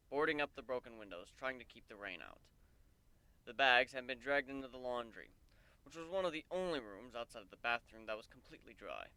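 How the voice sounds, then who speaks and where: A man's voice: medium pitch, pushed and bright, clean tone, no echo, rough recording. A man reading a story aloud as narrator, in the style of a dramatic audiobook. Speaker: narrator, fan fiction reader.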